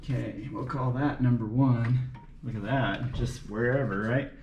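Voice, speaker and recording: A man's voice: mid-range voice, fairly even intonation, unhurried, muffled recording. A man thinking aloud in short, unscripted fragments as he does hands-on mechanical work for a vlog. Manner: muttering to himself in short fragments while working. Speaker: amateur mechanic narrating his car repair. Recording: roomy, echoey, noisy workshop-like recording.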